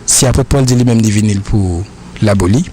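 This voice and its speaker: A man's voice: on the low side, mellow, a little hiss or hum. Earnest Haitian man answering questions. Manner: earnest, repetitive, halting phrasing.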